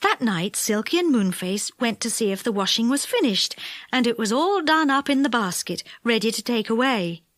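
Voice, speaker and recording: A woman narrating a children's audiobook in an expressive, measured storytelling style. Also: a little high, dry recording, clean studio sound.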